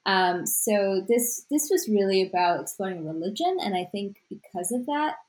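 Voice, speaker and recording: A woman's voice: mid-range voice, animated, moderate pace, a little mellow. A woman author born in Sri Lanka, answering interview questions thoughtfully with many "you know" fillers and restarts. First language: Tamil. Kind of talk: interview conversation.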